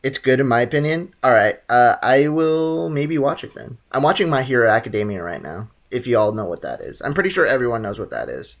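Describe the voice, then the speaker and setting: A man's voice: medium pitch, very animated, fairly quick, hardly any pauses. A young man streaming a game casually, joking around and reading chat aloud.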